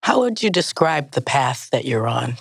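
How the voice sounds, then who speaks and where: An interviewer with a mid-pitched voice, putting measured questions to a guest. The sound is dry and close, with very little room to it. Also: big pitch swings, vocal fry, breathy edge, dry recording.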